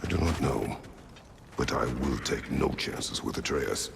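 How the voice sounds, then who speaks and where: A man voicing a gruff, guarded warrior father in a video game, gravelly and terse. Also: a bass voice, unhurried, big-room sound, very noisy, heavily acted. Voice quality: gravelly, growling.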